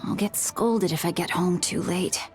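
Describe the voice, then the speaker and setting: A woman voicing a bookish, well-spoken young boy scholar in a video game, explaining things in a measured, articulate way. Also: on the low side, soft background music, lightly acted. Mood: reluctant.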